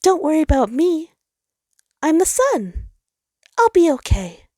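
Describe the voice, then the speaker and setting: A woman's voice: sits high, big pitch swings, a little slow, mellow, no room sound, clean studio sound, pitched above the performer's own voice. A woman voicing a frightened male star in human form, pleading in a shaky, sobbing voice in a story reading. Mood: drowsy reassurance.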